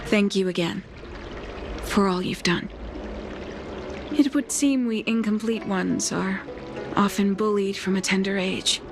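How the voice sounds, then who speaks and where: Slow, solemn woman alchemist, played by an actress. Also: medium pitch, fairly even intonation, over music, natural voice. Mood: grave, restrained sorrow. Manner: measured, formal, solemn delivery with deliberate pauses. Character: blind woman chief alchemist, long-lived, stoic, quietly bitter. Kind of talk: video game story dialogue.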